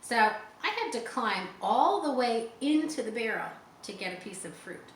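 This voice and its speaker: A woman reading a children's story aloud expressively, pausing to explain words for young listeners. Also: a little high, animated. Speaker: audiobook reader. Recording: roomy echo, faint background noise.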